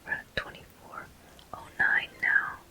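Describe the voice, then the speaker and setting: Slow, breathy ASMR whisper, gaming commentary. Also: soft background music. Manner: soft, unhurried, gently narrating each step. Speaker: gaming video host.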